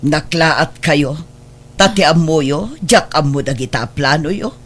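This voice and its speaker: A woman's voice: low voice, lively intonation, unhurried, breathy edge, heavily acted. An actor playing a stern, resolute older wealthy adoptive mother with an Ilocano accent in a radio drama. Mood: stern, hurt.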